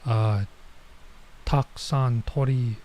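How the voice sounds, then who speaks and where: A man streaming a visual novel, talking in a mellow, relaxed voice at a medium pitch. His commentary is informal and halting, often drifting into muttering as he works out lines and reads them aloud. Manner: informal, halting, mutters to himself while translating. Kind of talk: casual let's play commentary, reading dialogue aloud.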